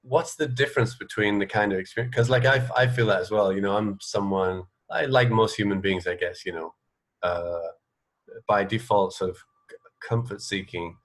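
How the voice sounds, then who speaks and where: A man hosting a casual radio interview, speaking in a halting, tentative way as he circles around his point. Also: lowish voice, steady tone.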